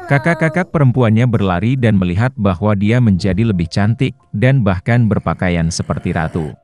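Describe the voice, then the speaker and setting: A man's voice: fairly low pitch, animated, moderate pace. A man narrating a bedtime fairy tale for children, lifting his voice at the start of many phrases. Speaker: storyteller. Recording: soft music underneath.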